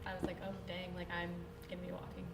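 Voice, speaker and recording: A woman's voice: lowish voice, fast talker, vocal fry, heavy background noise. A young woman from Florida, a college soccer player, telling a funny story casually. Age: young adult. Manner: animated, laughing while telling. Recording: echoey room with people around.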